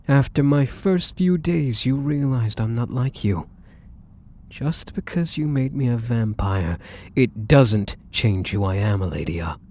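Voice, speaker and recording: Man acting slow, husky brooding vampire. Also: medium pitch, a little mellow. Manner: slow, theatrical, formal phrasing. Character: defiant, protective young male vampire, bitter and menacing. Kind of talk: ASMR audio roleplay acting.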